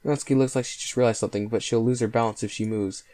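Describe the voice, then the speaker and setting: Male gameplay host, mid-pitched, reading story text aloud with faint music underneath. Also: fairly even intonation, rapid-fire, warm tone. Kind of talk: reading visual novel narration aloud. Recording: voice over faint game music.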